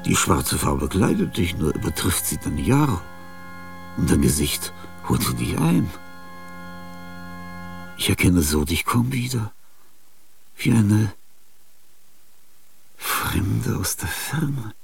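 An older man acting in a radio play, with a husky, gravelly voice and a halting, dreamlike monologue that slips into whispers. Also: lowish voice, big pitch swings, recorded from a distance, faint music behind. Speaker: radio drama actor.

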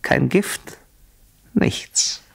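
A man playing a coldly polite, vengeful husband in a radio play, speaking in a husky, deliberate, menacing way that often drops to a whisper. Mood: smugly calm. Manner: mock-courteous, deliberate, menacing. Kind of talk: German radio play acting.

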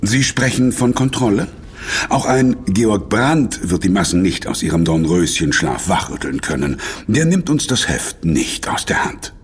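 Animated, low-voiced, obsequious businessman. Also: unhurried, breathy edge, full character voice. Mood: defensive, smug. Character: obsequious, money-minded businessman bargaining with a secret order. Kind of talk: audio drama acting.

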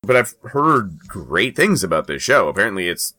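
A man's voice: on the low side, big pitch swings, moderate pace, pushed and bright. A man co-hosting a podcast in casual panel chat, rambling and often interrupting himself with qualifications. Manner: rambling, hedging, self-interrupting. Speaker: comics news podcast co-host.

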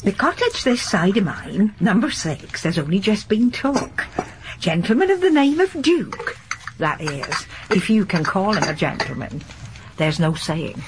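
Lively, gossipy village landlady. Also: medium pitch, measured pace, noisy background. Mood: gossiping. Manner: chatty, gossipy, folksy. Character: gossipy, garrulous older village landlady and charwoman. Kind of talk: broadcast radio drama acting.

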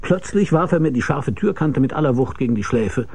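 A wry private eye played by a man tells his radio crime story in a mellow, creaky voice. He is dry and laconic, with a middling pitch and a faint noise behind him. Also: lively intonation, brisk, close to an everyday voice. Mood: wry narration. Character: wry hard-boiled male private detective. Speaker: lead actor and narrator. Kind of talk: radio crime drama, first-person narration.

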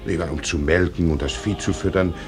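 An actor playing a middle-aged small-town doctor and father, measured and gently firm, in a radio drama. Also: low voice, steady tone, over music, natural voice. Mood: gently chiding. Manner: measured, paternal, gently chiding. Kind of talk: German stage-play radio drama acting.

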